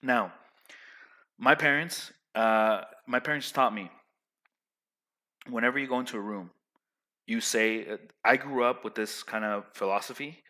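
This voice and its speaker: A young man giving casual social advice to his viewers in a loud, emphatic, rambling way, full of restarts. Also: mid-range voice, measured pace, pauses often.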